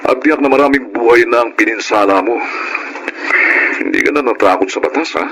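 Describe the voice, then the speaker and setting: An actor with a Filipino accent playing a stern but compassionate police sergeant in a radio drama, speaking in a firm, lecturing way. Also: male voice, mid-range voice, fairly quick, noisy background, natural voice.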